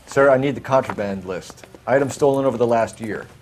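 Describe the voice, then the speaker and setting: An actor playing an earnest, brisk American police detective in a 1940s crime video game, formal and clipped. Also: male voice, medium pitch, darkish tone, lightly acted. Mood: businesslike.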